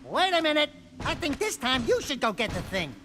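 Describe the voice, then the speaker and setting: A man's voice: extremely high pitch, sing-song, a little fast, music in the background. A man voicing a small, nervous, cheeky furry sidekick in a video game, jittery and wheedling. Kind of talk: animated video game cutscene acting.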